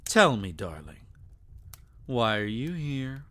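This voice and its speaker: A man's voice: mid-range voice, very animated, slow, bright, forceful tone, full character voice. A man acting as a sadistic, possessive vampire lord in an intimate ASMR roleplay, teasing and taunting with theatrical, menacing endearments. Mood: menacing, seductive.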